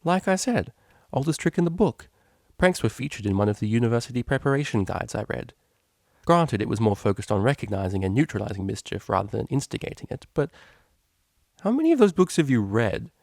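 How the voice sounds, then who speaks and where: A man's voice: medium pitch, very animated, fairly quick, vocal fry, a little mellow, no room sound, studio quality. A man voicing a prim, naive young female cellist pony, studious and earnest, with precise, bookish speech.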